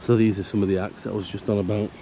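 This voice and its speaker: A croaky, low-voiced man gives a chatty walk-round of a fishing lake outdoors. His tone is mellow and relaxed, and the outdoor camera audio carries a faint hiss of background noise. He recommends the spots and grumbles about the council. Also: rapid-fire. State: croaky from illness. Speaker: angler vlogger. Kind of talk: casual walk-round narration.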